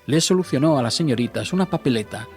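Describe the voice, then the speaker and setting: An actor playing a wry, grandiloquent storyteller who introduces a period comedy in scripted radio drama narration. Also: male voice, medium pitch, animated, quick pace, a little mellow, close to an everyday voice. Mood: wry, storytelling. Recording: music under the narration.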